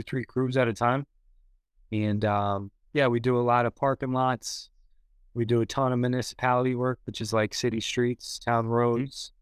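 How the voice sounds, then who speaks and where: A man around thirty speaks as a podcast guest, relaxed and matter-of-fact. His pitch stays flat and even, his voice smooth and clear, and the sound is dry and close. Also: no echo. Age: 30s. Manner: laid-back and matter-of-fact, with many fillers. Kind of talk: casual interview talk.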